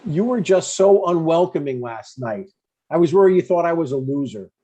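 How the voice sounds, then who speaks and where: A man's voice: on the high side, lively intonation, moderate pace, dark tone, dull audio. A man in his sixties playing an earnest, eager but stubborn dad in a conversational comedy table read. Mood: defensive, hurt.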